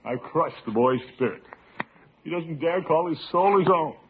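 A man's voice: fairly high pitch, expressive, normal speed. A man playing a blustery, fretful, pompous middle-aged uncle in an old radio comedy, with theatrical exclamations. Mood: worried, fretful. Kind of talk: 1940s-50s radio comedy acting. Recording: tinny, noisy old radio broadcast.

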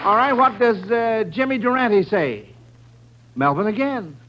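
High-pitched male radio quiz host, vintage sound. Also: sing-song, a little slow, noisy background. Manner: repeats and affirms the contestants' answers. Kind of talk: old-time radio quiz hosting, chatting with children. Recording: dull, lo-fi vintage radio broadcast.

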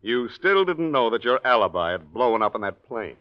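An actor playing a businesslike, confident police lieutenant in a 1940s radio drama, explaining things matter-of-factly. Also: male voice, mid-range voice, very animated, normal speed, close to an everyday voice. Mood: businesslike, assured. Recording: muffled vintage radio recording.

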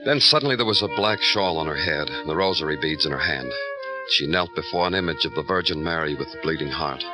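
Low-voiced hard-boiled detective. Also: male voice, fast, over music, rough recording. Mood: somber. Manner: terse, deadpan. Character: terse, hard-boiled male police detective narrating his case. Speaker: lead detective and narrator. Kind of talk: old-time radio noir narration and dialogue.

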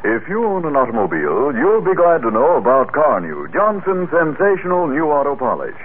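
A man's voice: on the high side, wildly swooping pitch, brisk. An American man announcing a scripted 1940s radio commercial in a polished, emphatic sales style. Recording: tinny, hissy old radio recording.

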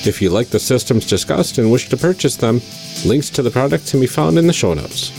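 Creaky-voiced male podcast host. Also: medium pitch, animated, fairly quick, a little mellow, faint music behind. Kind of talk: casual chat and scripted show outro.

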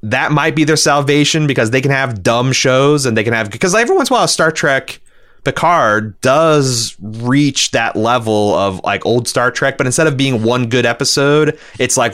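A man's voice: mid-range voice, normal speed, a bit bright. Blunt man talking TV as podcast co-host. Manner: blunt, casually profane. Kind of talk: casual opinionated TV discussion.

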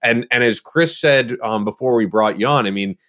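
A man's voice: mid-range voice, moderate pace, a bit bright. A man in his thirties hosting a podcast, enthusiastically praising a product in casual conversation. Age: 30s. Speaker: podcast host, former personal trainer.

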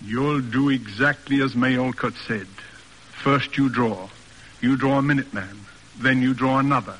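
A man's voice: mid-range voice, normal speed, a bit bright, big-room sound, lightly acted, low-quality recording. An actor playing a stern, pompous middle-aged American father in a formal, declamatory radio drama style. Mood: commanding, emphatic. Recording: old radio broadcast recording.

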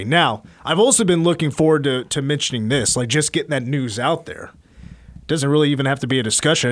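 A man hosting a sports talk radio show, chatty and rambling, his voice getting a little hoarse. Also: mid-range voice, no echo. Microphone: studio microphone.

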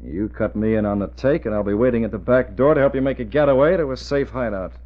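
An actor playing a confident masked vigilante posing as a crook in an old-time radio drama, firm, terse and commanding. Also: male voice, medium pitch, fast talker, lightly acted. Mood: persuasive. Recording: muffled old radio recording.

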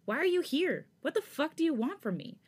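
Woman reading as a raging young man, high and loud, storybook fight scene. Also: a little fast, natural voice. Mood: angry shouting. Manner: yelling, pitch raised. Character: furious young man, shouting and bitter. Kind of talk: fan fiction read aloud.

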